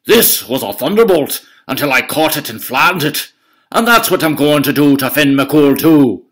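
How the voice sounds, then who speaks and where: A man's voice: a little high, lively intonation, moderate pace, a bit bright, voice pushed up. A man voicing a huge, gluttonous bully giant who bellows and blusters. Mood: boastful.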